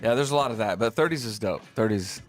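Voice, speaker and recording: A man's voice: fairly low pitch, expressive, fast, faint music behind. A man in his late thirties co-hosting a radio chat, teasing the others playfully. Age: 30s. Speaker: radio co-host. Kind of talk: casual studio chat. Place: radio studio.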